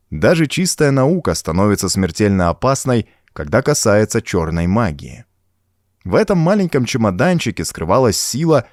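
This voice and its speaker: A man's voice: lowish voice, darkish tone, dry recording, clean studio sound. A man reading a pulp horror story as an audiobook, in a dramatic, scripted storytelling manner. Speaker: audiobook narrator.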